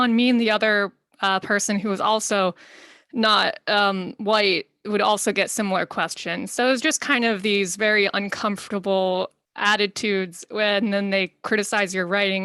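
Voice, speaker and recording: Bright, crisp-voiced woman moderating a panel. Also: medium pitch, no echo. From United States. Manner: upbeat, conversational moderating. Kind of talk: online panel discussion.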